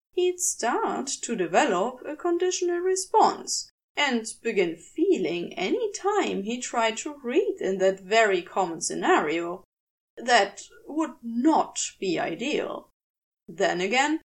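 Woman, audiobook-style fiction narration, lively intonation, unhurried pace. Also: fairly high pitch. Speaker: podfic reader.

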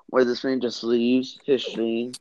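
A teenage boy joins a friends' podcast as a guest, tossing out teasing, wry comments in a middle-pitched voice. His audio sounds dull and lo-fi. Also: completely flat delivery, slow pace, darkish tone. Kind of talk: casual chat.

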